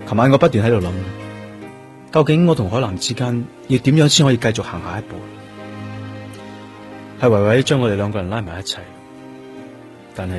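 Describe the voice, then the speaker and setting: A man acting in a Cantonese radio drama as a stubborn, brooding police detective, with a husky voice and Hong Kong accent. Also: mid-range voice, lightly acted. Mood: reflective.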